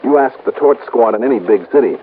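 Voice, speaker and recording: An American actor playing a shrewd, businesslike insurance investigator in 1950s radio drama, measured and dryly probing. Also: male voice, mid-range voice, animated, lightly acted. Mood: probing, skeptical. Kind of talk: 1950s radio drama acting, with first-person case narration. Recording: muffled, noisy old radio broadcast recording.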